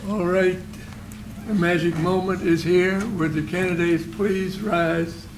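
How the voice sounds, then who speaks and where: Man, high voice, officiant, oath in short slow phrases, echoey council chamber, background noise. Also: steady tone. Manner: slow, phrase by phrase, pausing for repetition. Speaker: naturalization ceremony officiant. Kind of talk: administering the oath of allegiance.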